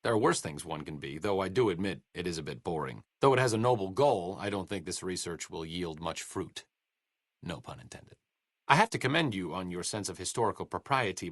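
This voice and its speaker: Casting: a wry, educated young game scientist, low voice, lively melody, dry studio sound. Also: male voice, no room sound. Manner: dry sardonic wit, educated phrasing. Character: wry, learned male scientist companion, dry wit. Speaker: game companion voice lines. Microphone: close studio mic.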